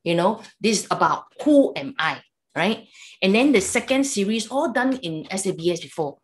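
A woman's voice: medium pitch, lively intonation. Older Malaysian woman giving a chatty talk. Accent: Malaysian English. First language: Cantonese. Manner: chatty, halting, lots of "okay" and "lah" tags. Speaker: Buddhist teacher. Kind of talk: online dharma talk. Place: home room with whiteboard. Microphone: video call webcam.

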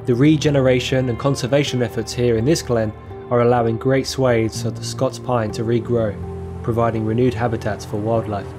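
Calm, measured male documentary narrator. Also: medium pitch. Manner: calm, measured, reflective delivery. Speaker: filmmaker narrating his own trip. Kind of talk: scripted documentary voice-over narration. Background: music playing under the voice.

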